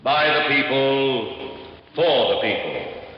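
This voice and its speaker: A man's voice: mid-range voice, lively intonation, takes it slowly, faint music behind. A man playing a solemn orator, grand and declamatory, in a ceremonial radio style. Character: solemn male orator declaiming a famous democratic creed. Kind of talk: slow ceremonial oration. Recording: muffled, long echoing hall reverb.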